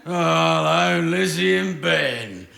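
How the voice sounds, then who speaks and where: A man's voice: fairly high pitch, lively intonation, slow, gravelly, bright, forceful tone, full character voice. An actor playing a bossy, hearty, hard-of-hearing old grandfather in a live radio play, blustering and querulous. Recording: roomy live stage recording.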